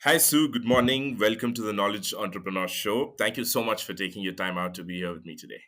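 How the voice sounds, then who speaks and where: A man's voice: on the low side, fast, fairly bright tone. A man hosting a podcast, reading a guest introduction and then asking loose, rambling questions with fillers. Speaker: podcast host. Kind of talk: interview hosting.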